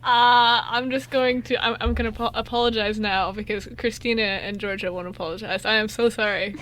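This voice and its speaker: A woman's voice: on the high side, steady tone, fairly quick, a bit bright, a little hiss or hum, low-quality recording. A young woman on a radio show panel in casual, teasing banter, with group chatter around her.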